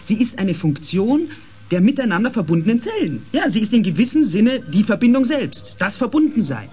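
A thoughtful male scientist in a science fiction radio drama. His voice is high and very animated. He questions and reasons aloud with emphasis. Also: moderate pace, soft background music. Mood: musing, eager. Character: thoughtful, inquisitive scientist on space expedition.

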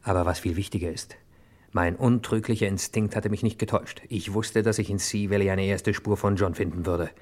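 An actor playing a hard-boiled, cocky hero who narrates a German radio crime play in clipped slang, talking straight to the listener. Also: male voice, fairly low pitch, fairly even intonation, fairly quick, darkish tone, lightly acted. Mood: dry, suspicious.

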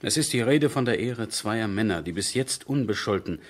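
An actor playing a sober, dutiful army major who speaks in measured, weighty phrases in a 1970s German radio drama. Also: male voice, lowish voice, expressive. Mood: grave.

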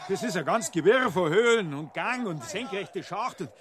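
An actor playing an excitable, deferential village police sergeant in a radio crime drama, rustic and hesitant, with a Bavarian accent. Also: male voice, high voice, normal speed, a crowd in the background. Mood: explaining. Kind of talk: 1990s German radio play acting.

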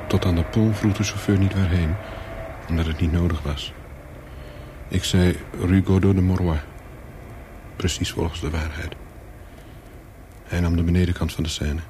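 An actor playing a wary, hunted courier, telling his story in a radio thriller in short, clipped, deliberate sentences. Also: sits low, steady tone, warm tone, a little hiss or hum, close to an everyday voice. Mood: wary, brooding. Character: hunted male courier of smuggled microfilms, wary, coolly calculating. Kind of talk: first-person thriller narration in a radio play.

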